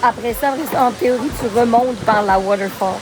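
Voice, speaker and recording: A woman's voice: on the high side, moderate pace, low-quality recording. A young woman with a Québécois French accent, chatting casually to camera as a travel vlogger, gushing and mixing English words into her talk. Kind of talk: casual vlog chat to camera. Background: background music.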